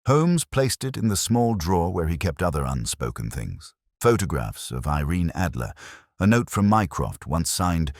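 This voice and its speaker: A man with an extremely deep voice narrates a detective tale in a measured, literary way. His delivery swings widely in pitch for dramatic effect. The sound is dry and close, as in an audiobook. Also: sing-song, a little mellow, no echo. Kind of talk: scripted dramatic narration of a Victorian detective story.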